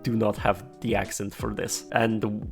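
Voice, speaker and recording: A man's voice: lowish voice, level tone, a little slow, vocal fry, soft background music. A man from Poland, reading a scripted, opinionated commentary with a wry, casual tone and some swearing. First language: Polish. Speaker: video essayist.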